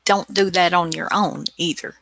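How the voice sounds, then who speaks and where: A woman's voice: fairly low pitch, steady tone, normal speed, gravelly, a bit bright, dry recording. A woman hosting a radio show in casual chat, with a folksy, teasing manner.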